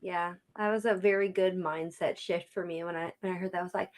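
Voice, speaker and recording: A woman's voice: fairly low pitch, fairly quick. A woman in her early thirties co-hosting a podcast, telling stories animatedly in casual chat. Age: 30s. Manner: animated storyteller, laughs easily. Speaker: podcast co-host.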